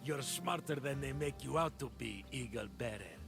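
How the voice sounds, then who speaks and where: A man's voice: mid-range voice, vocal fry, lightly acted. A man playing a smug, callous slave master and cult villain in a video game, sneering and condescending. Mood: smug, menacing. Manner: sneering, condescending, unhurried menace. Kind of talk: video game cutscene acting. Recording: music behind.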